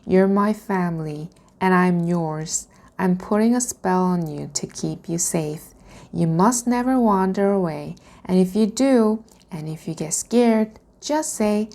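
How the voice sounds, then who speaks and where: A woman's voice: medium pitch, creaky, warm tone. A woman voicing a caring, protective auntie magician in a storybook, reassuring and instructive.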